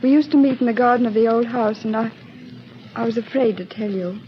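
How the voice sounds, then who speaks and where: A woman plays a timid, sorrowful young daughter with a fairly high voice. She speaks earnestly and plaintively in 1930s radio acting style. The broadcast sounds dull, with faint noise. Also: fairly quick, natural voice. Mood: anxious, confessing. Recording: muffled, faintly noisy 1937 radio broadcast.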